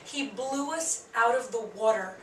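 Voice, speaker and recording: A woman, a theater director, talking excitedly and quickly, repeating herself. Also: on the high side. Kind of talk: casual interview remarks. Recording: echoey room from far off, noisy.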